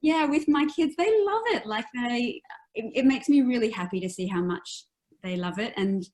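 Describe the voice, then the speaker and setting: A woman's voice: fairly high pitch, lively intonation, moderate pace, darkish tone. A middle-aged woman telling personal stories in a casual conversation as an interview guest. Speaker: guest, author.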